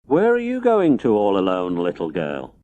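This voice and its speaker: Man voicing a solemn, gentle storybook wolf, very expressive. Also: lowish voice, normal speed, muffled recording, pitched below the performer's own voice. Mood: solemn concern. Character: big gentle, sad, protective male talking wolf. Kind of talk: children's audiobook character acting. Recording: faint noise, old digitized audiobook recording.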